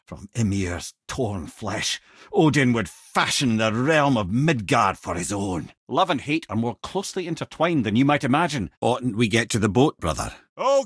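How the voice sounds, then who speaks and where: A wry old scottish sage for a video game, really animated storyteller, close dry sound. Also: male voice, medium pitch, slightly rough, dry recording, full character voice. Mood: wry storytelling, then alarmed. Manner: eloquent, wry storyteller with colourful old-fashioned turns of phrase. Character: wise, wry, talkative severed head of an old sage. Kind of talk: video game character lines, storytelling and banter.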